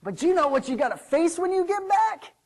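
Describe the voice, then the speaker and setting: A man playing a bitter, indignant servant who rants loudly and repeats his grievances in a storytelling sermon. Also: extremely high pitch, very animated, brisk, gravelly, husky, heavily acted. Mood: bitter urging. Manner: loud ranting, aggrieved, repeats himself. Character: bitter, indignant male servant digging a hole.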